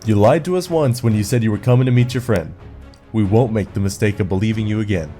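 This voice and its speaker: A stern guard captain, played by a man, issuing firm warnings in a commanding tone. His voice is mellow and warm rather than bright, with soft music beneath. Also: fairly low pitch, fast talker, close to an everyday voice. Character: stern male commune guard. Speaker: character in audio drama.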